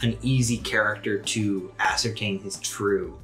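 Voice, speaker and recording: A man's voice: on the low side, steady tone, a little slow, a bit bright. Male game master with music behind. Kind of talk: narrating a tabletop game podcast.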